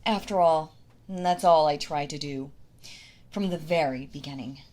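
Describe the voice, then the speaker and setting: A possessive wife played by a woman in an intimate audio roleplay, speaking very slowly in a lowish voice. She coddles her captive with sweet menace and talks down to them as if they were helpless. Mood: bitter, possessive. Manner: slow, coddling, condescending, with sighs and soft laughs.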